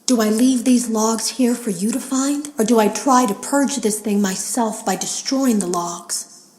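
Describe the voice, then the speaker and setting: An actress playing an uneasy female game tester, confiding in a measured, serious way in scripted audio logs for a horror game. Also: a little high, a little mellow, echoey room, slight background noise, close to an everyday voice. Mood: grave, resolute.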